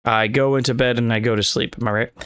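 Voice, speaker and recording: A man's voice: mid-range voice, steady tone, fast talker, a bit bright, smooth. A man doing a casual video game playthrough, reading the text aloud with stumbles and wry asides. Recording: game music playing behind the voice. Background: video game music.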